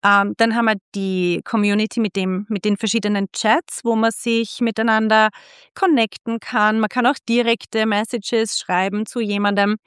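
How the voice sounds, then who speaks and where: A middle-aged woman from Austria hosting a casual interview, chatty and quick to laugh, often restarting her sentences. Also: medium pitch, flat delivery, a bit bright, clean tone, dry recording, clean studio sound. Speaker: podcast host and club founder.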